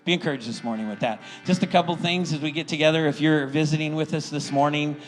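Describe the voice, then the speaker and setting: A man's voice: on the high side, steady tone, fast, rough recording. A middle-aged American man speaking as a pastor, encouraging his congregation in an informal, folksy way and often checking in with them. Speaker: church pastor welcoming the congregation. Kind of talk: informal sermon and church announcements.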